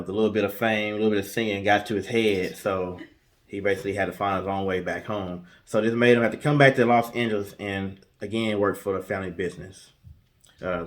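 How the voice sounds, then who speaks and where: A man co-hosting a storytelling show, speaking casually and haltingly, often pausing mid-sentence. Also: fairly low pitch. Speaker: co-host telling stories in a guessing-game show.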